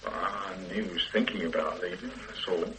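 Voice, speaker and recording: An actor playing a hesitant, uneasy grown son being questioned in a radio drama. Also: male voice, low-pitched, steady tone, a little fast, pushed and bright, slight background noise, low-quality recording.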